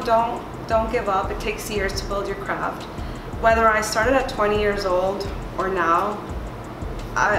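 A woman in her late twenties from El Salvador, raised partly in Chicago, talking casually and candidly in an interview, often saying like and you know. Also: medium pitch. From El Salvador, moved to Chicago at age six. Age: late 20s. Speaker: music video creator being interviewed. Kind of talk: casual reflective interview talk. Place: small bright window-lined room, indoors. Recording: roomy echo with music underneath. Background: music.

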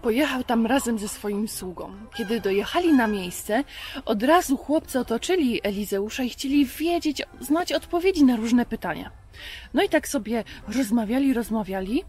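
A woman's voice: fairly high pitch, expressive, moderate pace, a little mellow. A woman in her thirties hosting a children's show outdoors, chatting and telling stories conversationally. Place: outdoors in a garden.

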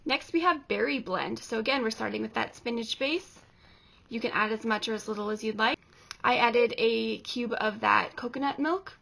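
A woman's voice: fairly high pitch, normal speed, gravelly, a bit bright. A woman in her thirties giving plain, step-by-step instructions in a casual kitchen demonstration, filmed in a home kitchen with a camera on the counter. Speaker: co-host. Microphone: camera at counter distance.

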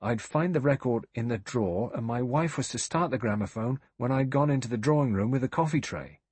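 A man's voice: mid-range voice, brisk, a little mellow, breathy edge, no echo, voice pushed up, lightly acted. A man voicing an earnest, flustered butler in an audiobook, servile and defensive. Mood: earnest, deferential. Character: flustered, earnest, deferential male butler protesting innocence. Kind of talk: audiobook character voice.

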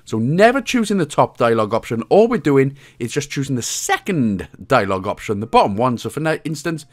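A man's voice: mid-range voice, big pitch swings, a little fast. A man with a Welsh accent giving casual, chatty, slangy walkthrough commentary on a video game as the guide's host. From Wales.

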